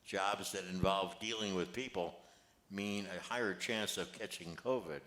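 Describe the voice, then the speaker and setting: A man's voice: deep voice, normal speed, a bit bright. An older man reading the part of a genial, modest grandfather in a play, plainly and a little hesitantly. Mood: matter-of-fact. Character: genial, modest grandfather who organizes charity work. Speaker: amateur actor reading a play. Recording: echoey, roomy amateur recording.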